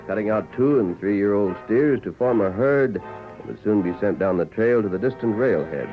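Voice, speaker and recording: A man with an American accent narrating a 1940s radio Western in scripted style. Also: lowish voice. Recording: muffled old radio recording.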